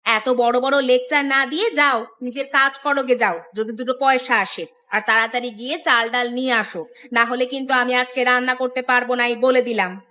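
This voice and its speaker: A woman plays an exasperated village housewife in an audio story. Her voice is high and sharp-tongued. She scolds and nags like a worn-out mother running the house. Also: fairly even intonation, a little fast.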